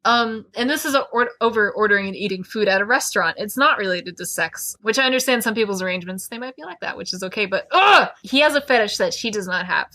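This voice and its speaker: A woman's voice: mid-range voice, expressive, fast talker, few pauses, pushed and bright. A woman co-hosting a podcast, chatting casually about a book in a wry, opinionated way. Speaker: podcast co-host.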